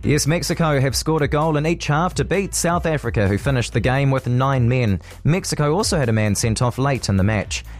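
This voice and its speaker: A man's voice: mid-range voice, lively intonation, fairly quick, hardly any pauses, faint music behind. A man with a New Zealand accent reading a scripted radio news bulletin. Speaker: radio newsreader.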